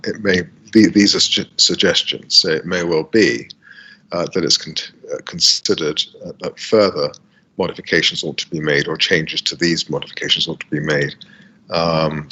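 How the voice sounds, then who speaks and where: A man with a British accent speaks at a planning hearing over a video call. His voice is very deep and bright, with a husky, croaky edge from a dry throat. He talks haltingly, with many fillers and false starts. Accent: British English. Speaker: council planning witness. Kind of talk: formal hearing statement. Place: air-conditioned room.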